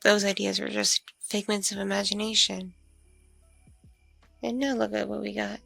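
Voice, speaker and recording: A woman playing a lonely, coy vampire mother in an ASMR roleplay, musing slowly in a husky, breathy, creaky voice with soft hums. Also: medium pitch, level tone, dry recording, soft background music. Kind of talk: ASMR audio roleplay, intimate one-sided conversation.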